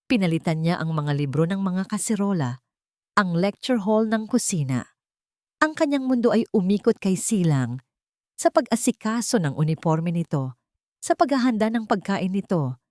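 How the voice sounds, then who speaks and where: Low-pitched woman, dramatic story narrator. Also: darkish tone, no room sound, studio quality. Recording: music bed under the voice. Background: music.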